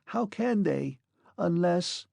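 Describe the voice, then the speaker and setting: A man voicing a fading mechanical clockwork man who speaks in a shrill, thin undertone, in a storybook reading. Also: expressive, takes it slowly, gravelly, a little mellow, breathy edge, no echo, clean studio sound, exaggerated voice. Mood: eerie pleading.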